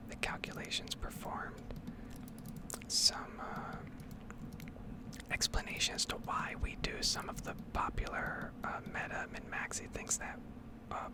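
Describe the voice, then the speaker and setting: A woman speaking in a breathy whisper, rambling analytically as she hosts an ASMR gameplay video. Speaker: ASMR gaming video host.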